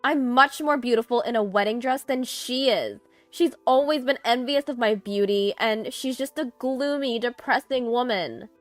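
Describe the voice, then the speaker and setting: A woman voicing a vain, spiteful sister in a story, loud, indignant and accusing. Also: on the high side, normal speed, few pauses, dry recording, pitched above the performer's own voice, close to an everyday voice. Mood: spiteful, boastful. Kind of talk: dramatized storytelling. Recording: music behind the voice.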